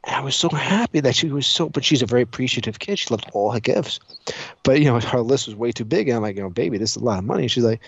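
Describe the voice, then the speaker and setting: A man chatting casually on a podcast, telling stories in an animated way, acting out dialogue and swearing freely. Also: medium pitch, fast, gravelly, lo-fi sound, slight background noise. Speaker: podcast co-host. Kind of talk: casual chat, animated storytelling.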